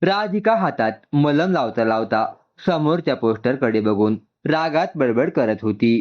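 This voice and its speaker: A man's voice: medium pitch, expressive, a little slow. A man reading a scripted story as a narrator, acting out its dialogue, on a slightly muffled recording. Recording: dull, muffled lo-fi sound.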